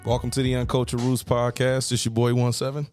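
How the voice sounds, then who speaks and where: A man's voice: mid-range voice, little pitch movement, a little fast, over music. A man in his thirties hosting a casual podcast, laid-back, laughing often and swearing freely. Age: 30s. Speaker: podcast host.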